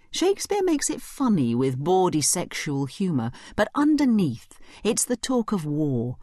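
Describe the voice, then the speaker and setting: A woman giving scripted educational commentary as a study guide narrator, explaining each point clearly. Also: sits low, darkish tone, dry recording, clean studio sound.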